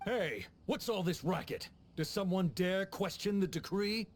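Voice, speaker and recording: An actor playing a stern, officious chief of tax officers in a video game, commanding and haughty. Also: male voice, high voice, animated, measured pace, full character voice. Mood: angry, imperious. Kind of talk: English video game dub acting.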